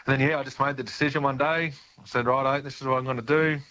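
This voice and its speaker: A man's voice: mid-range voice, fairly even intonation, fast talker, sharp tone. A man with an Australian accent talking informally as an interviewee. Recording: dull, muffled sound.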